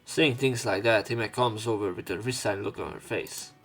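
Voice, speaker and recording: A low-pitched man narrates a visual novel as a let's play host over clear background music. He reads the text aloud, adds casual remarks, and trips on words before fixing them. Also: normal speed. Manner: stumbles over words and corrects himself. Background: game music behind the voice.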